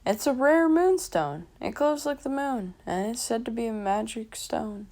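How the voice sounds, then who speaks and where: A woman's voice: fairly high pitch, lively intonation, measured pace, darkish tone, pitched above the performer's own voice. A woman voicing a bookish, inquisitive teenage girl detective in a storybook, explaining eagerly. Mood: fascinated.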